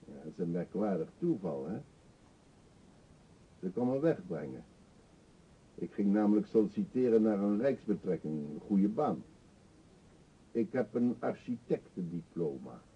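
An actor playing an eccentric, talkative older beggar in a radio drama, pushy and wry, confiding theatrically. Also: male voice, lowish voice, sing-song, a little slow, long pauses, a little hiss or hum. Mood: confiding, emphatic. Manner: chatty, insistent, theatrically confiding. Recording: dull, muffled old radio play recording.

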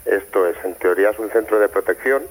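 A man's voice: fairly low pitch, fast. A man with an accent from Spain, giving explanatory testimony as an interview guest over a telephone call. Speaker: interview guest, former youth care educator.

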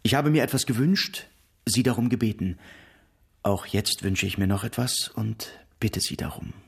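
An actor playing an earnest, awkward, high-minded clergyman in a German radio drama, speaking formally and solemnly. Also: moderate pace, creaky, breathy edge, full character voice. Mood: earnest, halting.

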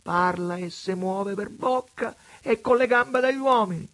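A man's voice: measured pace, a bit bright. A man reading aloud and voicing a sly, mocking male vagabond with a mock-pious, theatrical way of speaking. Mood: ironic flattery. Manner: mock-pious, sweeping high declamation.

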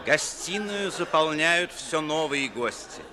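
A man's voice: on the high side, lively intonation, slow. A man narrating the stage action of a radio play in a scripted style, on a noisy old recording.

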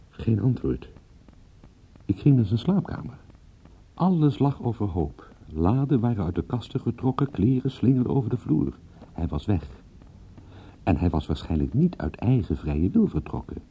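A man's voice: medium pitch, mellow, breathy edge, full character voice. An actor playing a brooding, wry male private detective who muses aloud as he narrates a murder case in a radio play. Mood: tense. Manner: musing aloud, self-questioning. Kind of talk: radio drama first-person narration. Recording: muffled lo-fi old radio recording.

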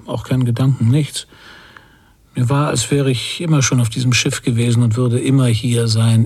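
Husky, brooding bitter father. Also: medium pitch, a little mellow. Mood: numb, brooding. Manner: terse, fragmented inner monologue. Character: bitter, guilt-ridden emigrant father, brooding and irritable. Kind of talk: German radio drama interior narration.

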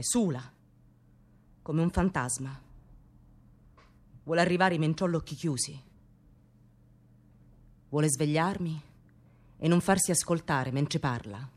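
A brooding, lonely woman. She speaks very slowly, in short deliberate phrases, as a wounded lover delivering a theatrical monologue. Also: sits low, darkish tone. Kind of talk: theatrical radio play monologue.

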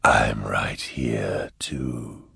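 A man voicing a sly, mockingly friendly male demon in an audiobook, with a drawn-out, insinuating whispery delivery. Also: rumbling low, fairly even intonation, creaky, bright, forceful tone, breathy edge, no room sound, clean studio sound, voice pushed down, full character voice. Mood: soft menace.

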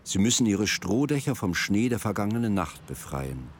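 A man reading scripted narration for a radio drama in a measured storyteller's manner. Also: lowish voice, very animated, slight background noise. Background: plucked string music.

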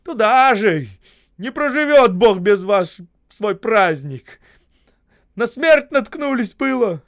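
A man voicing a wily old peasant in a story, shrill and querulous, wailing in folksy exclamations with a breaking voice. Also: expressive, slow pace, a bit bright, dry recording, studio quality, heavily acted. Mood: angry, indignant. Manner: wailing, whining, exclamatory folk speech.

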